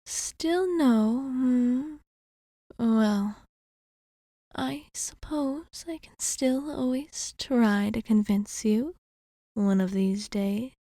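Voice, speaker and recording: Elegant, protective vampire barkeep played by a woman, ASMR roleplay: unhurried, mellow, half-whispered, formal. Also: a little high, no echo, exaggerated voice. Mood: teasing, intimate. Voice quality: soft, breathy half-whisper. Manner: measured, formal phrasing with gentle pauses. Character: elegant centuries-old female vampire bartender, calm and protective.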